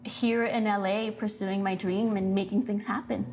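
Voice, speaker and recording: A woman who grew up in Mexico, an actress, telling her story in an interview with lively expression. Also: mid-range voice, moderate pace.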